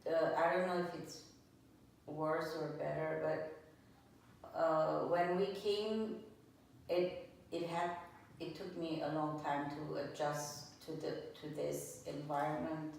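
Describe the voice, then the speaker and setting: Woman in her 60s, deep voice, slow hesitant delivery, plainspoken interview answers, very echoey room. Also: vocal fry, darkish tone. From Lebanon. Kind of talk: oral history interview answers. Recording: echoey room, distant mic, faint noise.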